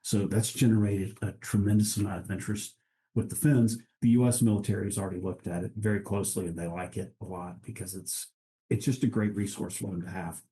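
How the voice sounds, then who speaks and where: A man, a guest on an interview podcast, talking in a relaxed way with frequent restarts and easy laughs. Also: lowish voice, brisk, low-quality recording. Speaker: guest, international trade director. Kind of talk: casual interview conversation.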